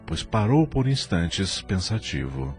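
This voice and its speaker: A man narrating an audio drama from a script in an expressive, literary storytelling manner. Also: sits low, takes it slowly, faint music behind.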